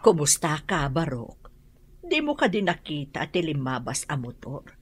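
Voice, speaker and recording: A woman's voice: low-pitched, sing-song, normal speed, a little hiss or hum. A woman with an Ilocano accent playing a concerned, chatty older villager in a drama.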